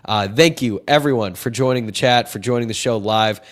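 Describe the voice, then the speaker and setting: A man with a stuffy, congested nose from a cold, hosting a live sports podcast, chatty and opinionated as he answers chat questions. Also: mid-range voice. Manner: chatty, opinionated, quick asides. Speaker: podcast host.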